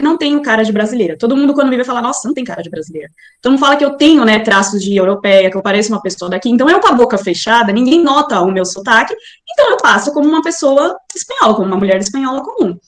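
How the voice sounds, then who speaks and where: Chatty Brazilian woman on an echoey call. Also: sits high. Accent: Brazilian Portuguese. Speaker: interview guest. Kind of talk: casual video call interview.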